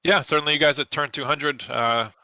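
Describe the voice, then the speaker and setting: A man's voice: on the high side, steady tone, a little fast, sharp tone, no room sound. A man from New England, the race winner, talking confidently in a post-race interview with plenty of fillers. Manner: confident, competitive, lots of fillers.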